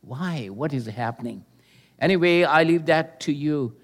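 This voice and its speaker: Older man preaching slowly. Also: a little high, expressive. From Pakistan. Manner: earnest and measured, with pauses and hesitations. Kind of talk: sermon with personal testimony.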